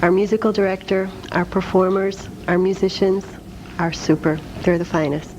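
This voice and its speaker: Slightly low-voiced woman, casual interview guest. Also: fairly even intonation, moderate pace, darkish tone, slight background noise, low-quality recording. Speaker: interview guest, supper club spokesperson.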